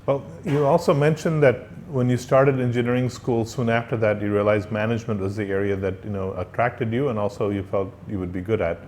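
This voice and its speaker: A man interviewing a guest, asking questions politely and deliberately with some hesitant phrasing. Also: fairly low pitch, steady tone, a little mellow, slight background noise. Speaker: interviewer, professor.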